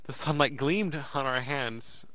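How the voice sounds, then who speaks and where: An actor playing an earnest, emotional young man, narrating an audio drama in the first person with deliberate, dramatic pauses. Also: mid-range voice, pushed and bright, dry recording. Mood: tender. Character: earnest, emotional young man telling his escape story.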